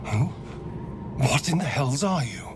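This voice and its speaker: An actor playing a flippant, bloodthirsty elven rogue in a video game, with a British accent and a sardonic, theatrical way of talking. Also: male voice, mid-range voice, expressive, a little slow, background noise, natural voice. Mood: wary, sarcastic. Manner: theatrical, arch, sarcastic drawl. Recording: reverberant game mix with loud music.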